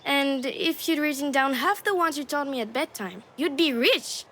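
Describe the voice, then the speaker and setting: A woman's voice: sits high, normal speed, bright, forceful tone. An actress voicing a cheeky, affectionate young daughter in a video game, with a playful, girlish, teasing manner. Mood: teasing, encouraging. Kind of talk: naturalistic video game drama acting.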